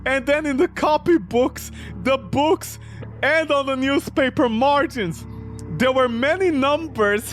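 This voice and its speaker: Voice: sits high, a bit bright. A game streamer reading a visual novel aloud and reacting to it in an exasperated, incredulous, theatrical way. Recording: background music under the voice. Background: music.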